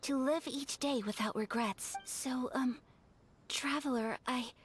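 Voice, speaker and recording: A woman playing a gentle, refined young noblewoman in a video game, speaking softly and politely in a measured, breathy way. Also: high-pitched, a little hiss or hum. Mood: shy, nervous. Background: music.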